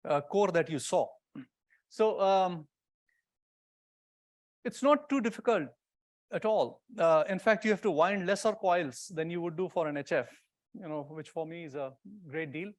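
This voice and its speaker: A man's voice: on the high side, no echo, clean studio sound. A man presenting an informal technical talk, explaining step by step and checking that listeners follow. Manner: explanatory, often checking with "right?" and "okay?". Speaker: conference presenter, radio builder.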